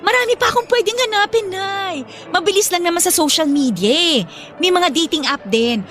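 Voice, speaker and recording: A woman's voice: very high-pitched, normal speed, fairly bright tone, faint music behind. A woman with a Filipino accent playing a pushy, teasing young adult daughter in a radio drama. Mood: insistent.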